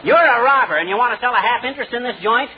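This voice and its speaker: An actor playing a blustering, stubborn, tightfisted American husband with folksy exclamations in a 1940s radio comedy. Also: male voice, very high voice, racing. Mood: indignant. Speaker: lead comic actor. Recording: noisy, narrow-band 1940s radio recording.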